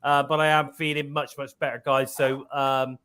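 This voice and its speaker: A man's voice: mid-range voice, flat delivery, bright, forceful tone, a little hiss or hum. A man hosting a live-stream talk show, chatty and upbeat, with a lingering cough. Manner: upbeat, chatty, drawn-out fillers. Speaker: podcast host. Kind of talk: casual live-stream chat.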